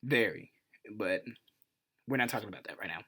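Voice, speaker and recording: A man telling a personal story casually as a vlog host, in an informal, animated way with self-deprecating humor. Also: fairly low pitch, normal speed. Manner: animated retelling, acts out dialogue. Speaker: vlogger, channel host.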